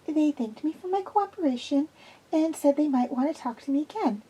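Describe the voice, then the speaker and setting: A woman voicing a nervous, jumpy young woman who speaks haltingly. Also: high voice, animated, dark tone, pitched above the performer's own voice. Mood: anxious. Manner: anxious, halting.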